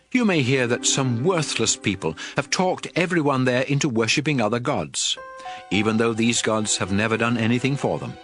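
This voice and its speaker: A man playing a solemn prophet and lawgiver, exhorting his people in a measured, emphatic dramatized scripture reading. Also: mid-range voice, fairly bright tone. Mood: stern command.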